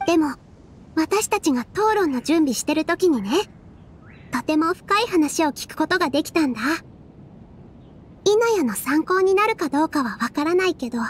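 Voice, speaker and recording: Sweet earnest young anime dancer girl, really high voice, game dub, bit of noise. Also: animated, normal speed. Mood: gentle, earnest. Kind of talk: Japanese anime game voice acting.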